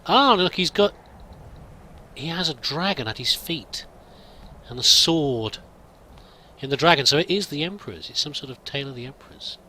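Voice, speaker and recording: Bright-voiced man musing through a game. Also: on the high side, lively intonation, normal speed, long pauses, slight background noise, poor audio. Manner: musing, hesitant, thinking aloud. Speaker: let's play roleplayer. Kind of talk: in-character game commentary.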